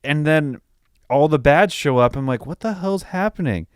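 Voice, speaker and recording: A man's voice: fairly high pitch, lively intonation, moderate pace, clean tone, no room sound, clean studio sound. A young man co-hosting a podcast, chatting casually about films with slang and swearing. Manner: slangy, profane. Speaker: podcast co-host. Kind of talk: casual film review chat.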